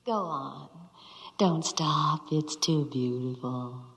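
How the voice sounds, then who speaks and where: Low, reverberant, imperious ship computer. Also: female voice, takes it slowly, a bit bright, heavily acted. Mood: dreamy, smitten. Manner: melodramatic, grandly sentimental. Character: romantic, headstrong female ship's computer, imperious and theatrical. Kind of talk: 1950s radio drama acting. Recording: 1950s radio recording, long reverb.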